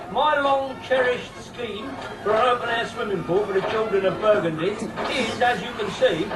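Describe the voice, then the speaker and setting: An actor playing a pleased, bumbling local prime minister giving a hesitant public speech. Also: male voice, extremely high pitch. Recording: echoey, distant speech with crowd.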